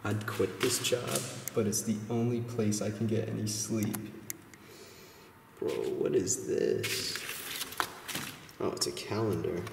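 A young man with a low voice talks very slowly and casually, in loose, sweary slang. He sounds like an explorer reacting aloud on a handheld camera, in echoey abandoned rooms with noticeable noise. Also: animated, vocal fry. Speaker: urban explorer and vlogger. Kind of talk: casual exploring vlog chat. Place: inside large abandoned hospital building. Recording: echoey empty building, noticeable background noise.

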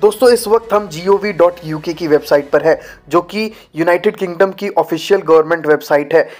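Young male tutorial host, raised pitch, friendly explainer, faint music behind. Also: a little fast. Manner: friendly, repeatedly addresses viewers as friends. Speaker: YouTube channel host. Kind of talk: informal tutorial walkthrough. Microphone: lapel mic. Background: faint background music.

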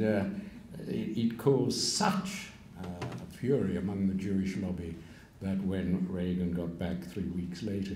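Older man, keynote lecture, measured with wry asides, deep voice, roomy hall sound. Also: dark tone, a little hiss or hum. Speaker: keynote speaker. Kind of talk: public lecture. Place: stage of a large hall. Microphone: stand mic at a lectern.

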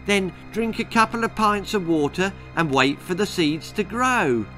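A man's voice: high voice, animated, measured pace, bright, forceful tone, faint music behind, pitched above the performer's own voice, full character voice. A man voicing an anxious young boy in a children's story, hesitant and pleading as he explains himself. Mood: anxious persuading.

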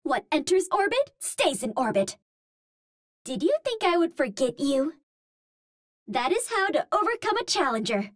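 A cute young female Martian explorer for a video game. Her voice is extremely high and girlish, with lively melodic swings. She speaks at an unhurried pace, choosing formal, precise words with earnest politeness. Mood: confident, playful. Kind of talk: video game hero callouts.

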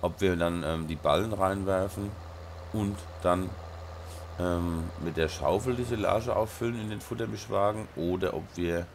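Man, Rhine-Hessian German accent, hoarse, stuffy nose, mumbling, very low voice, faint hiss. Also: moderate pace. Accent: Rhine-Hessian German dialect. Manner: mumbling, many ums and uhs, talks to the game. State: hoarse with a sore throat and blocked nose. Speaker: let's play host. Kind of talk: casual gameplay commentary.

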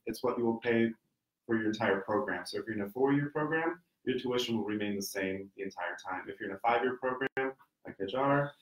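A man from Ohio, an admissions counselor, explaining details in a steady way on a panel. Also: medium pitch, a little fast, dull audio, background noise. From Cincinnati, Ohio. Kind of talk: informational panel talk. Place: meeting room with branded backdrop. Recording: echoey conference room.

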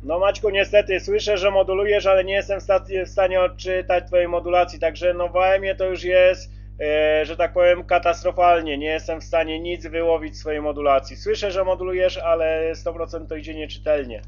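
High-voiced guy chatting on cb radio, keeps repeating and checking, dull lo-fi sound. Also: little pitch movement, a little fast, hardly any pauses. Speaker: CB radio operator. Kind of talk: casual radio contact talk.

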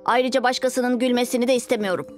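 A high boyish voice performed by a woman for a young cartoon superhero leader. He is assertive and a bit cautious, with light music underneath. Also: fairly even intonation, fast talker, close to an everyday voice. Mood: doubtful. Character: cautious, self-assured six-year-old boy superhero leader. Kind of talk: animated cartoon dubbing.

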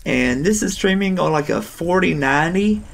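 A man hosting a gameplay video, his voice hoarse as he recovers from strep throat, chatting in a rambling, casual way. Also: fairly high pitch, lively intonation, normal speed, slight background noise. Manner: chatty, rambling, laughs now and then. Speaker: gaming channel host.

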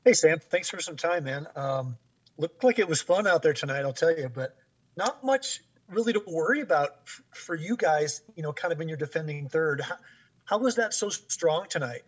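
A man with a husky voice, a sports reporter asking casual questions at a press conference. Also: on the high side, expressive, normal speed, poor audio.

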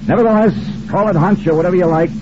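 A man's voice: high-pitched, rapid-fire, lightly acted. An American man playing a hard-boiled insurance investigator in a clipped, wry old radio detective style. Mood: intense, reflective. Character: hard-boiled, brisk male freelance insurance investigator narrating his case. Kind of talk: 1950s radio detective drama acting. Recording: noisy, dull old radio recording.

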